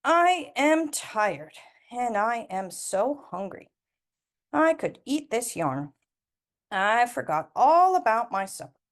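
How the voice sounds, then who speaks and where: A kindly, fretful old granny from a children's storybook , played by a woman reader. The voice is fairly high, and she draws out her words as she talks to herself. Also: big pitch swings. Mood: weary. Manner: drawn-out exclamations, talking to herself.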